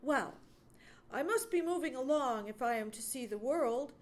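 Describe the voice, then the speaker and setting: A woman acts out a young male woodchuck from a children's animal storybook. He sounds proud and sure of himself, with emphatic, animated lines and the stubborn air of a carefree wanderer. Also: high voice, normal speed. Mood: proud, decided. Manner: emphatic, scornful, self-assured.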